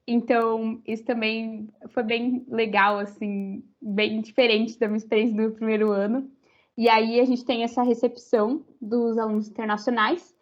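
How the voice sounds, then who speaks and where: A woman's voice: on the high side, little pitch movement, normal speed. A young Brazilian woman with a Brazilian Portuguese accent, a university student chatting as a podcast guest, full of fillers and restarts. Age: young adult. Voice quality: many fillers, rambling restarts. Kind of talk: casual interview chat. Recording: slightly muffled audio.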